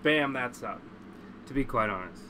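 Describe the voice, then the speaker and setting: Male livestream host, average pitch, congested, casual and sweary with strong opinions; noticeably noisy recording. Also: expressive, moderate pace, bright, forceful tone. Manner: blunt, profane, opinionated.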